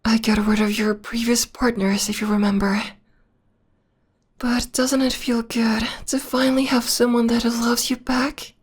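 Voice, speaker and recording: A woman voicing a possessive, adoring vampire lover in an intimate ASMR roleplay, with a breathy whisper and a slow, tender, lingering delivery. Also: a little high, little pitch movement. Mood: possessive tenderness.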